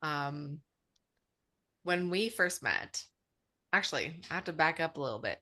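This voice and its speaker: A woman from Alberta co-hosting a podcast, telling stories in a chatty, animated way. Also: low-pitched, a little slow, no room sound. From Alberta, Canada. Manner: chatty, animated storyteller, acts out quotes. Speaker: podcast co-host. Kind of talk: casual storytelling chat.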